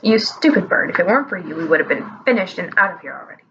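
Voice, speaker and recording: A woman's voice: lowish voice, lively intonation, rapid-fire, fairly bright tone, muffled recording, slight background noise, pitched below the performer's own voice. A woman voicing a grumpy, pudgy old male wizard who grumbles and whines. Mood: grumbling. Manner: grumbling, whiny.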